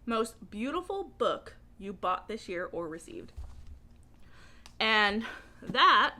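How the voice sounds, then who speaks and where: A woman talking in a casual, chatty book vlog style, with a giggly, animated and informal, rambling manner. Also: medium pitch. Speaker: book reviewer vlogger.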